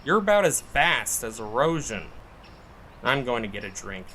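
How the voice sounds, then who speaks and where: Man playing a bright-voiced, flippant podcast talent. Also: mid-range voice, moderate pace, slight background noise. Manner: flippant, deadpan joking. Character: cocky, wisecracking male would-be podcast storyteller. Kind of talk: naturalistic audio drama dialogue.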